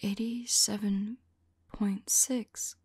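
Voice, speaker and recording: A woman performs as a devoted female vampire in an ASMR audio drama. She speaks in slow, breathy whispers with a faint creak, tender and anxious, pausing as she muses to her beloved. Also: mid-range voice, little pitch movement, dry recording, exaggerated voice. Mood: curious, puzzled. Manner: halting, soft, musing to a loved one.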